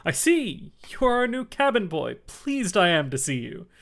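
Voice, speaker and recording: Man doing a one-legged pirate innkeeper, hearty, cunning, loud and blustering, raised voice. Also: high-pitched, sing-song, normal speed, pitched above the performer's own voice, heavily acted. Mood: jovial. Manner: loud, blustering sea-dog talk.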